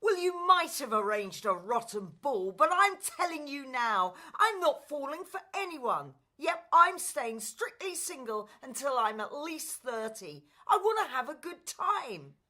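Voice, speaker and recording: Stubborn young prince, played by a woman: petulant, emphatic, high and animated, radio drama. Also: unhurried, hardly any pauses, full character voice. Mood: defiant, exasperated. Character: stubborn, defiant young prince arguing with his father.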